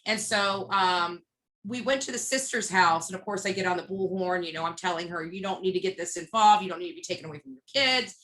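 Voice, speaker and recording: A woman with a bright, forward voice recounts things as an interview guest. She is blunt and emphatic, narrating in casual speech and slipping into quoted dialogue. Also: lowish voice, brisk, poor audio. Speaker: interview guest, street protester. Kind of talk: animated casual storytelling.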